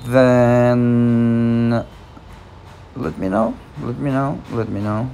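A man with a Russian accent guides viewers through a phone app at a slow, easy pace. He hesitates often, filling gaps with "uh" and restarting words. A faint hiss sits under the voice-over. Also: mid-range voice, level tone, creaky, warm tone. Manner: hesitant, many fillers and restarts. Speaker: channel host. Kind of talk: screen-recorded app tutorial.

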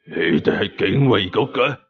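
A man voicing a defiant veteran fighter villain in his thirties from an audio story, rough and sneering, with a Hong Kong Cantonese accent. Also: mid-range voice, lo-fi sound, soft background music, natural voice. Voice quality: rough, gravelly. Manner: slow, taunting, sneering.

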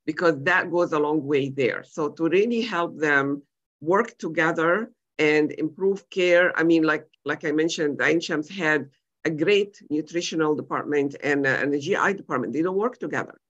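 A woman's voice: low voice, moderate pace, fairly bright tone. A middle-aged woman from Egypt talks conversationally in a panel on a video call. The sound is a little dull and lo-fi, as picked up by a computer microphone. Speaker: physician panelist.

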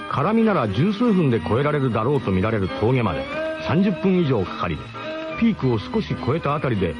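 A man narrating a radio drama as a first-person comic story, in a mock-grand, theatrical way. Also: medium pitch.

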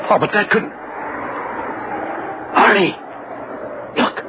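A man's voice: sits high, slow pace. An actor playing a friendly, nervous man in a 1940s radio horror drama, heard on a very noisy vintage recording.

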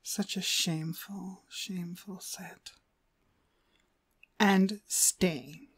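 A woman playing a cruel, domineering demonic dog trainer in an ASMR roleplay, haughty and scolding with drawn-out emphasis. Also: fairly low pitch, steady tone, breathy edge, no echo, heavily acted. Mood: cold contempt.

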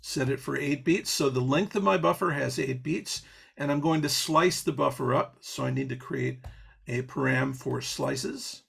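A man's voice: mid-range voice, moderate pace, vocal fry, a bit bright, husky. A man teaching an audio programming patch step by step on a video call, explaining as he goes with fillers and restarts. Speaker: software instructor. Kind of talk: live online coding demo.

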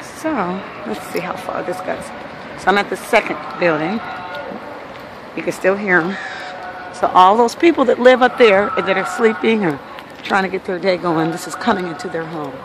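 Californian woman narrating over clear street noise. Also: mid-range voice, normal speed. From Los Angeles, United States. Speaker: local resident documenting a noise problem. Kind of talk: casual handheld video narration. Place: mostly outdoors walking along apartment alley. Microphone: handheld phone. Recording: noisy outdoor phone recording, street noise.

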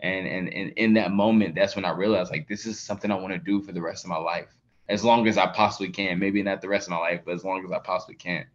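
A man's voice: low voice, fairly even intonation, fast. A man in his thirties on a video call, answering interview questions earnestly, repeating words and using many fillers. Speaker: documentary subject, athlete. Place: indoor room with plain wall, video call. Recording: dull lo-fi video call audio.

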